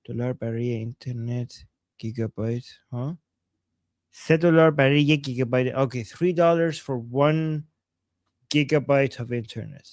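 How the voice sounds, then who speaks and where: Male live-stream host, rapid casual chat, slightly high voice, dry close sound, repeats himself for emphasis. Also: darkish tone, dry recording. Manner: switches between English and Persian, translating aloud. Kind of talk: casual live-stream chat, reading out and answering viewer messages.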